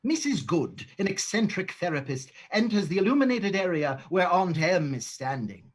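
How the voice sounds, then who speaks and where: A man's voice: sits high, animated, normal speed, slightly rough. A man narrating the cast list and stage directions of a play reading, with dry, sarcastic asides. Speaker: narrator and playwright. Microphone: home microphone, livestream.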